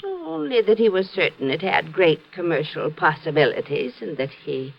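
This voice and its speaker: An actress playing a polite, reticent widow in a classic radio drama, speaking hesitantly and earnestly. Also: female voice, fairly low pitch, animated, normal speed, creaky. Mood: uneasy, reluctant. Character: polite, anxious, reticent widow, a former typist for her husband. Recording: muffled old radio recording.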